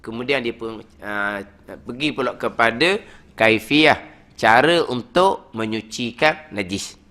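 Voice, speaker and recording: A man, a religious teacher giving a weekly study lecture in a prayer hall, talking in a lively, conversational way with frequent "ha" fillers. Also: mid-range voice, slow.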